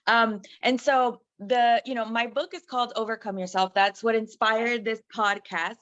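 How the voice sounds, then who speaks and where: Female host, a touch high-pitched and encouraging, on dull, dead-dry audio. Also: fairly even intonation, moderate pace, a bit bright, no echo. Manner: encouraging, asks open questions. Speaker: podcast host. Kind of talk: casual podcast interview.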